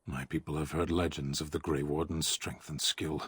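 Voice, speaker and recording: A man playing a stoic, grimly resigned captive warrior in a video game, speaking in terse, solemn, measured words. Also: extremely low pitch, little pitch movement, fairly bright tone, breathy edge, no echo, full character voice. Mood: solemn, guarded.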